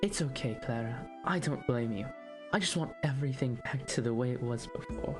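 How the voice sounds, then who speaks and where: Audio drama role: anxious young prince, played by an actor, devoted and grieving, halting earnest delivery over a music bed. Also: medium pitch, lightly acted. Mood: wistful. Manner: hesitant, earnest, plaintive.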